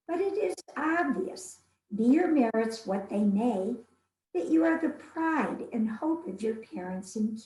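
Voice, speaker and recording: A woman's voice: a little high, mellow. A woman reading as a devoted, candid young wife of the 1830s, giving her letters in formal, earnest, old-fashioned phrasing. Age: young adult. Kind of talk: dramatic reading of 19th-century letters. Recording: roomy, echoing sound.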